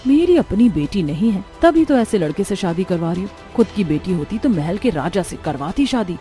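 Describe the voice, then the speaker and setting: A woman's voice: mid-range voice, sing-song, moderate pace, mellow, faint music behind, natural voice. A woman voicing a melodramatic middle-aged stepmother in a cartoon, scheming and doting, defensive and pleading.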